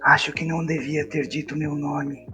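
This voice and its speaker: A man's voice: fairly high pitch, flat delivery, moderate pace, natural voice. A man voicing a small, naive, sweet adventurer who speaks innocently and earnestly in a fantasy roleplay. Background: background music.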